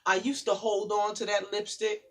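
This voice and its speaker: A woman poet who talks softly and haltingly in a faith-centered chat, then reads her own poem in a rhythmic, declamatory spoken word style. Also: medium pitch, little pitch movement. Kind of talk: spoken word poetry reading in a casual faith conversation.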